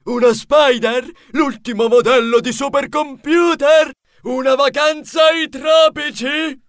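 Roditore spaccone dalla voce acutissima. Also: male voice, very high-pitched, lively intonation, measured pace, dry recording, studio quality. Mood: eager. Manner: exclamatory, theatrical delivery. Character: boastful, excitable male rodent cousin, showy and self-congratulating. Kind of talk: audiobook character acting.

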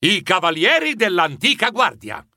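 An actor playing a timid, excitable mouse who narrates a children's story in a fretful, theatrical manner. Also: male voice, high voice, moderate pace, loud music behind the voice, heavily acted. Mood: alarmed, dramatic. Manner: animated, fretful, dramatic.

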